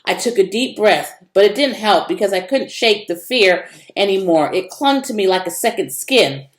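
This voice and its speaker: A woman's voice: medium pitch, expressive, moderate pace. A woman narrating a children's story expressively, in a roomy, echoing recording. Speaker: read-aloud narrator.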